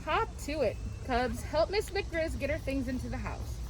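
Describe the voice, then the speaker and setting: A woman voicing a brisk, encouraging mother bear in a storybook, cheerful and used to giving directions. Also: high-pitched, animated, noisy background. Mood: decisive.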